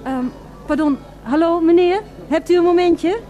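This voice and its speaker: A woman's voice: very high voice, moderate pace, dark tone, soft background music. An actress playing an eager, polite female radio reporter in a radio drama, with formal, florid and emphatic delivery. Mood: polite, flustered.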